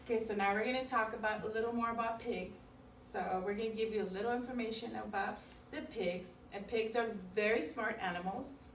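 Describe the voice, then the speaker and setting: A woman's voice: a little high, steady tone, a little fast. Woman teaching kids in an echoey room. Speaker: preschool teacher co-hosting a video lesson. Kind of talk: informal lesson chat for children. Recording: echoey room, distant mic, faint noise.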